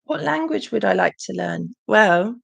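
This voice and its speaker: A young woman hosting a casual livestream Q&A, with a croaky voice and a chatty manner full of ums. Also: medium pitch, animated, moderate pace. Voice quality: croaky, gravelly. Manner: chatty, warm thanks, frequent ums. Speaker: channel host.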